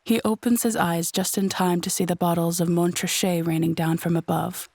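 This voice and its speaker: Lower-voiced woman narrating audio drama. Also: level tone, normal speed, vocal fry, very noisy. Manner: descriptive storytelling.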